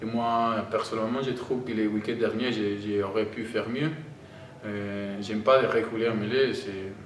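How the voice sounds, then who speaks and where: A man with an Argentine Spanish accent, speaking halting non-native French as a rugby player answering press questions. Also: lowish voice, fairly even intonation. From Argentina (Tucumán, in the north). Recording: roomy, distant microphone, audible background noise.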